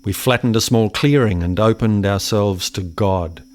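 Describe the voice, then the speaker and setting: An actor playing a brooding settler in a radio play, recalling a forest childhood in measured, poetic monologue. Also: male voice, fairly low pitch, close to an everyday voice.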